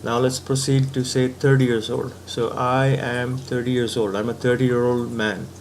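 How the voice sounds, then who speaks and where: A man's voice: mid-range voice, level tone, normal speed, a little hiss or hum. A man giving a patient philosophical talk, reasoning step by step and often checking in with the listener. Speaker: spiritual teacher.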